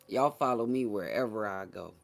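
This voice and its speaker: Low-voiced man, teasing live streamer. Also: creaky. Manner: teasing, boastful, slangy. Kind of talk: casual live stream chat with viewers.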